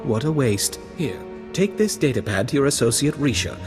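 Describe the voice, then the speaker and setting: A man's voice: medium pitch, big pitch swings, moderate pace, over music, lightly acted. An actor playing a suave, flirtatious male aristocrat in a video game, courtly and a little condescending. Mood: cool, courtly. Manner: courtly, smooth-talking, affected.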